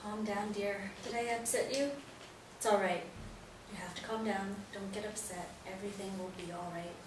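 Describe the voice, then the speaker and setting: An actress playing a gentle mother in a stage play reading, tender and reassuring as she comforts her son. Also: mid-range voice, fairly even intonation, moderate pace, lightly acted. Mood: soothing, worried. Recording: roomy, distant, noisy hall-like pickup.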